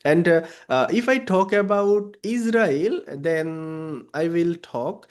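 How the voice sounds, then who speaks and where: A man's voice: fairly high pitch, expressive, slow. Bangladeshi man, hesitant English. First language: Bengali. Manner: hesitant non-native English with many fillers. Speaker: freelance service provider. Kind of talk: client meeting on video call. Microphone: video call.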